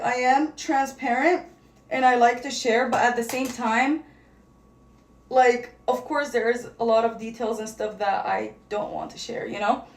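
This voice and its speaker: A woman's voice: fairly high pitch, a little mellow. A young woman whose first language is Arabic, chatting casually as a vlogger while getting ready, rambling and searching for words. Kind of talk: casual get-ready-with-me chat. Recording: echoey room.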